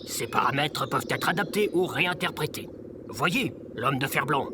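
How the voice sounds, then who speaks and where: A man's voice: a little high, animated, normal speed, background noise, close to an everyday voice. A man playing an eccentric sage robot mentor in audio fiction, theatrical, lofty and instructive.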